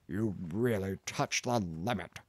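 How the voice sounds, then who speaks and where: A man's voice: deep voice, takes it slowly, slightly rough, no room sound, exaggerated voice. A man reading an audiobook, voicing a pompous, contemptuous older male professor in a blustering, condescending manner. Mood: lecturing. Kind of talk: audiobook character voice.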